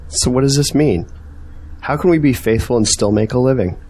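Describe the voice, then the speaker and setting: A man's voice: medium pitch, moderate pace, a little mellow, natural voice. Earnest man, searching and persuasive, questioning manner, audio drama dialogue, acted by a man. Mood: thoughtful, concerned.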